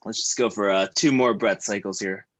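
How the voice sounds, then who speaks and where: A man in his thirties leading a stretch class, joking between calm breathing cues. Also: fairly low pitch, normal speed. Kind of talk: guided stretching class with casual chat.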